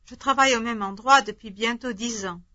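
A woman giving the model answers in a language drill, measured and carefully articulated. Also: a little high, bright, forceful tone. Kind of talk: reading drill responses. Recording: dull lo-fi tape recording.

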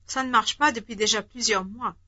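A woman giving the model answers in a language drill, measured and carefully articulated. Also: on the high side, sharp tone. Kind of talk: reading drill responses. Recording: dull lo-fi tape recording.

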